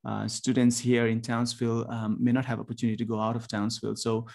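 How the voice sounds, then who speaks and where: A man from Nepal in his forties, a university lecturer giving a presentation over a video call, talking haltingly with many ums and restarts. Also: medium pitch, completely flat delivery, vocal fry, a little mellow. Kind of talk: webinar presentation.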